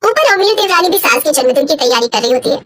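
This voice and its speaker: A woman's voice: high voice, very animated, fast talker. A woman reading scripted storybook narration for a cartoon. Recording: clear background noise.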